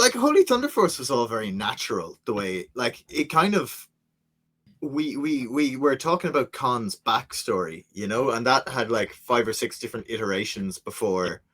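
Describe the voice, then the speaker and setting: A man's voice: mid-range voice, animated, moderate pace, fairly bright tone. A man co-creating a fiction podcast, chatting casually and rambling with many fillers. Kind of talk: casual chat.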